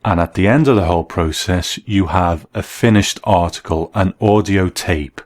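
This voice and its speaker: A man hosts an interview in a conversational style. His voice is on the low side, and the sound is close and dry with no room around it. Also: normal speed, darkish tone, dry recording. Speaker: interviewer, host.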